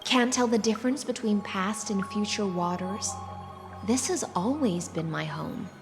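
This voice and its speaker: A woman's voice: mid-range voice, a little slow, natural voice. An actress playing an aloof, teasing, languid noblewoman of the sea in a game, with a cool, lofty manner. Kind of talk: game character voice acting. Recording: long reverb, music behind. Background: music.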